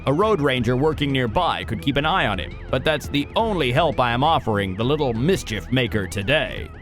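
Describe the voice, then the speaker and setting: A man's voice: medium pitch, very animated, a little fast, a bit bright, faint music behind. A man voicing an authoritative, stern but protective sheriff vehicle in a children's cartoon, commanding and moralizing.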